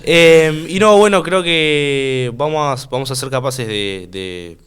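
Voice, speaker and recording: A man's voice: mid-range voice, slow pace, fairly bright tone, clean tone. A nineteen-year-old man with a Uruguayan Spanish accent, a political science student chatting playfully as a podcast guest. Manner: joking, playful, with many fillers. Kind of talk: casual podcast chat.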